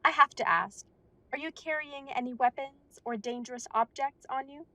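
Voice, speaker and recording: A woman's voice: high voice, normal speed, sharp tone, dry recording. A woman playing a polite, businesslike female werewolf receptionist at a council chamber.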